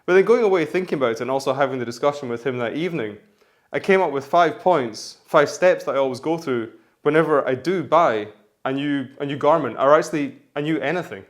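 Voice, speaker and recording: A man hosting a menswear vlog, talking casually and rambling with many restarts, his voice hoarse from the flu. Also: fairly high pitch, brisk. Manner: rambling, chatty, frequent restarts. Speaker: menswear vlogger.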